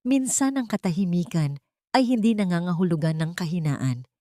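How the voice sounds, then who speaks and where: A woman with a Filipino accent voicing a gentle, concerned male stranger in a dramatic story, soft-spoken and soothing. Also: on the low side, lively intonation, moderate pace, no echo, studio quality, close to an everyday voice. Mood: calm, wise.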